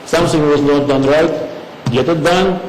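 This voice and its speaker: A middle-aged man speaking at public comment in a township meeting, halting and earnest, English. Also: on the high side. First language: not English. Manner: halting, earnest, with frequent pauses and fillers. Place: large meeting hall. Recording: echoey hall recording, faint hum.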